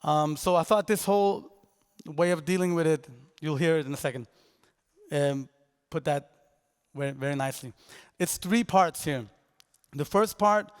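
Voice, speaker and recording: A man's voice: fairly high pitch, clean tone. A young man from Denmark presenting his podcast at a conference, talking casually and rambling with fillers and restarts. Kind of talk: conference talk. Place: large conference hall. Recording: reverberant hall.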